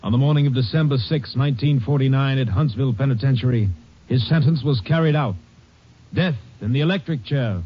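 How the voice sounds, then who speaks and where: Grave old-time radio narrator. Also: male voice, medium pitch, a little fast. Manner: grave, matter-of-fact telling. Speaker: drama narrator. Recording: muffled old radio recording with music.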